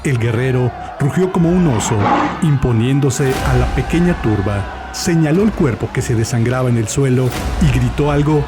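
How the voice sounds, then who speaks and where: A man's voice: mid-range voice, expressive, moderate pace. Male narrator over prominent music. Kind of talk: dramatic scripted audiobook narration. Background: background music.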